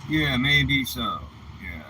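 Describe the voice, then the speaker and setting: A man's voice: mid-range voice, expressive, slow, pushed and bright, a little hiss or hum, low-quality recording. A man in his seventies delivering an aggrieved personal vlog monologue in an indignant, emphatic way. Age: 70s. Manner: indignant, emphatic, rhetorical questions. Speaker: vlogger.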